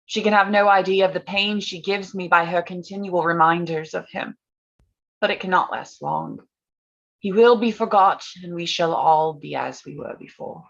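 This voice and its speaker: Woman playing a low-voiced, earnest young gentlewoman. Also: moderate pace, creaky. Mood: wistful. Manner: earnest, polite. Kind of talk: stage-play reading, period drama. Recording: slightly dull, lo-fi audio.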